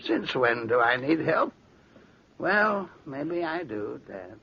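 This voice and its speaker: A fussy, irritable old uncle in an old radio thriller. The voice is very gravelly and husky, hesitant and querulous, swooping up and down. The recording is dull and lo-fi. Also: male voice, medium pitch, very animated, slow, sharp tone, a little hiss or hum, exaggerated voice. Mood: weary. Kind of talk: vintage radio suspense acting.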